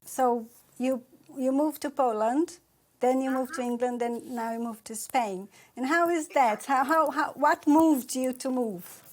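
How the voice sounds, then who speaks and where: A woman interviewing people, asking gentle prompting questions. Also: sits high, unhurried. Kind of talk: casual interview.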